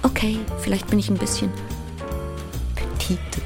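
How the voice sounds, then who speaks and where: A woman's voice: mid-range voice, very animated, measured pace, over music. An actress playing a frazzled, feisty small woman in a theatrical stage monologue about her childhood. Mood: defensive, wry. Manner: theatrical, crisply alliterative, swinging between whisper and declamation. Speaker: stage actress performing a solo show. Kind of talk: theatrical monologue with bursts of song and wordplay.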